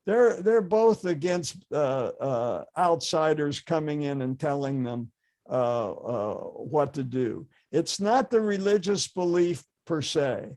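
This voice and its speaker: An older man lecturing slowly and thoughtfully on history. His voice sits a little high for a man, and he stops often with fillers and false starts as he gathers his thoughts. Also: expressive, a little mellow. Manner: halting, many fillers and restarts.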